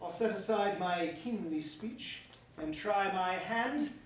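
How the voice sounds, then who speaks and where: An actor playing a pompous, bitter young prince in a staged reading of a verse comedy, declaiming formally. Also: male voice, high voice, animated, slow. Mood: curious, condescending. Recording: distant mic, echoey room, faint noise.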